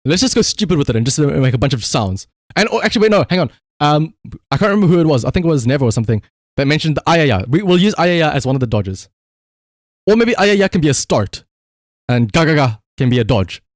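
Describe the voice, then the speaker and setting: A man's voice: fairly high pitch, big pitch swings, fast talker, no echo, studio quality. A man doing casual live game-stream commentary, swearing freely and repeating short command words.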